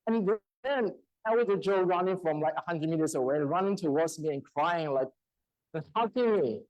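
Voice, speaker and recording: A man from China in his thirties, whose first language is a Chinese dialect, telling a personal testimony in church in a halting, storytelling way with frequent laughter. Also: fairly high pitch, animated, darkish tone, no room sound, muffled recording. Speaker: church speaker. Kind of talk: testimony sermon. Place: church hall.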